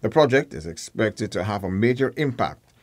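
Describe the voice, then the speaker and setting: A man's voice: on the low side, expressive, normal speed, a little mellow, dry recording. A man reading scripted narration for a news feature.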